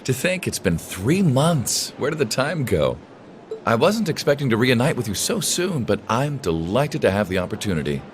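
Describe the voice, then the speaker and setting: An actor playing a suave, teasing aristocratic gentleman and elder brother in a game cutscene, speaking with courtly, eloquent polish. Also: mid-range voice, very animated, normal speed, a little hiss or hum, close to an everyday voice. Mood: warm, teasing. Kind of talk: video game cutscene acting.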